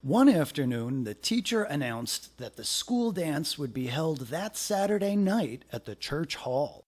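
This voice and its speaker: A man reading a children's picture book aloud in an expressive, deliberate storytelling manner. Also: fairly high pitch, a little hiss or hum.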